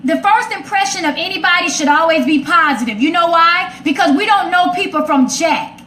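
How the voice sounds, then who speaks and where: High-voiced, direct woman in an echoey room. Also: animated, a little fast, bright, forceful tone. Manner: direct, opinionated, emphatic repetition. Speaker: talk show host addressing live comments. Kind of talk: casual, personal relationship talk. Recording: echoey room, faint background noise.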